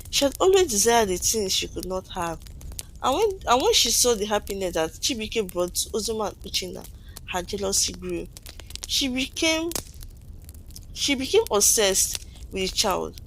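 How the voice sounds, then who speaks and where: A woman tells a folktale in a mid-pitched voice, reading from a script. Her delivery is dramatic, and she now and then stumbles or repeats a phrase. Faint noise and ambient sound sit behind her. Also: poor audio. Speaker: storyteller. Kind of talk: scripted folktale narration, read aloud.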